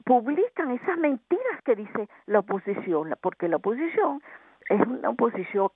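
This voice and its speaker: A woman's voice: fairly high pitch, very animated, moderate pace, slightly rough, dry recording. A Venezuelan-born woman sociologist speaking in an impassioned, indignant way as a guest, heard over the phone. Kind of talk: phone interview. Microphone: telephone. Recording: tinny phone line.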